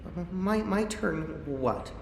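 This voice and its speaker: A flustered, stammering engineer, whose higher voice swings wildly as he trips over his words. The game audio sits in a roomy space with a long reverb tail. Faint ambience hums underneath. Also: lively intonation, slow, gravelly, slight background noise, full character voice. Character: nervous stammering male lead engineer.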